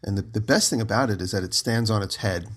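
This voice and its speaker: A man co-hosting a podcast, just out of bed with his voice lower than usual, telling long rambling stories from home over a remote call. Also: fast, fairly bright tone. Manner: rambling storyteller, giggly. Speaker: podcast co-host. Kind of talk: casual chat.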